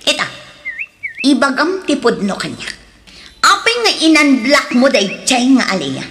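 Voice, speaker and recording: A woman with an Ilocano accent playing a jealous, domineering, sharp-tongued rich wife in a radio drama, scornful and full of accusing questions. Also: high-pitched, very animated, unhurried, heavily acted. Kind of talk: Filipino radio drama acting.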